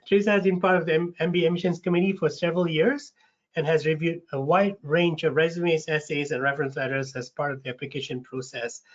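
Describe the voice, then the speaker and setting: A man with a slight rasp from a cold hosts an online info session, friendly, with lots of ums and restarts. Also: fairly high pitch. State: getting over a cold, a bit raspy. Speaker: webinar host, recruitment manager. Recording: dull lo-fi webinar audio.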